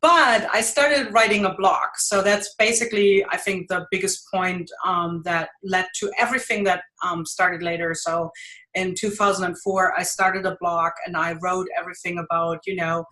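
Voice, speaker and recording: Bright-voiced German woman, chatty. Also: on the low side, fairly even intonation, normal speed, low-quality recording. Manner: chatty, laughs often, a little nervous. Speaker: podcast guest. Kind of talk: casual interview chat.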